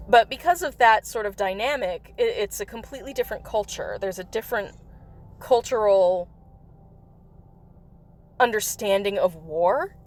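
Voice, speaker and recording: A woman chats loosely to her viewers during a game livestream, wandering from topic to topic. Her voice sits at a middling pitch and has turned slightly raspy from coughing. She laughs easily between thoughts. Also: moderate pace, long pauses. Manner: rambling, chatty, with frequent laughs. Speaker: livestream host. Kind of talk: casual chat while playing a mobile game.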